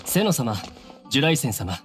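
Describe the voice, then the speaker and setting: A man's voice: mid-range voice, sing-song, a little fast, soft background music, close to an everyday voice. A man playing a dutiful young aide in an anime game, speaking formally and respectfully. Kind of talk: Japanese anime game voice acting.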